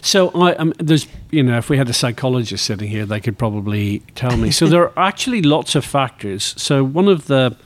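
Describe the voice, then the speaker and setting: A man's voice: mid-range voice, lively intonation, a little fast, hardly any pauses. A sixty-year-old man with a Northern Irish accent, talking reflectively and enthusiastically as a guest in a conversational interview. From Northern Ireland. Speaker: interview guest, motor racing executive.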